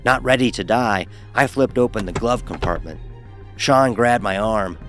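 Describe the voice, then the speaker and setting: A man's voice: on the low side, moderate pace, a bit bright, faint music behind. A man narrating a first-person horror story in a dramatic, wry manner.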